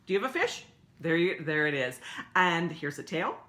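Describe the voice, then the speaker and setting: A woman's voice: on the low side, very animated, moderate pace, fairly bright tone. A woman leading a story time for young children, speaking in simple, encouraging words as she explains songs, stories and activities. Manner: simple, encouraging address to small children with repetition.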